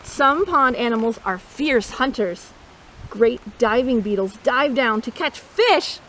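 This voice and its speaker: Expressive high-voiced woman reading to kids. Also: takes it slowly, a little hiss or hum, low-quality recording. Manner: expressive, playful, asks listeners questions, imitates animal sounds. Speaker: storytime host, nature educator. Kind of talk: read-aloud children's nature storytime with asides.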